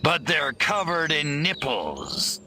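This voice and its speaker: A man's voice: a little high, huge pitch swings, slow pace, creaky, bright, forceful tone, a little hiss or hum, heavily acted. An actor playing a brash, hot-headed alien warrior in a video game, wisecracking in a sarcastic tough-guy drawl. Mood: cocky, sarcastic. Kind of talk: video game cartoon acting.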